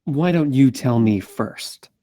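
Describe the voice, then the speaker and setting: A man's voice: medium pitch, animated, takes it slowly, dark tone, dry recording, clean studio sound. A man acting a grim, suspicious gunman in a script table read, asking blunt, terse questions. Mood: guarded. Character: grim armed male interrogator, suspicious and desperate.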